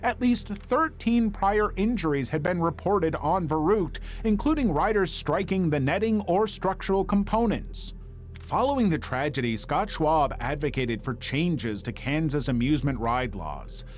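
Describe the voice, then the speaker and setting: A man's voice: a little high, animated, a little slow. A man reading a scripted true-story narration in a dramatic storytelling manner. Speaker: narrator of video documentary. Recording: music under the voice. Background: background music.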